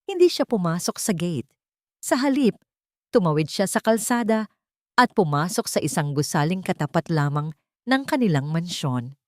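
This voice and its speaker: A woman telling a dramatic audio story with a low voice that rises and falls a great deal. She reads with feeling, and the sound is close and dry. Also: moderate pace, no echo. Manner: emotive, expressive.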